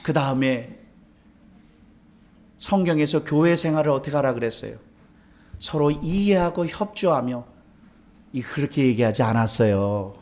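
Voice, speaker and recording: A man's voice: mid-range voice, animated, unhurried, long pauses, a little mellow. A man from South Korea preaching as a pastor, conversational and emphatic, with rhetorical questions and repeated key phrases. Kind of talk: sermon with rhetorical questions and anecdotes.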